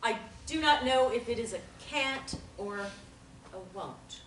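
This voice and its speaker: A bright, forceful actress's voice as a protective female therapist in a stage drama reading. She is calm and firm, choosing formal, measured words. The sound is roomy and distant from the mic. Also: fairly high pitch, slight background noise. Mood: firm, reassuring. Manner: formal, measured wording with few contractions. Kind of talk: dramatic table reading of a play.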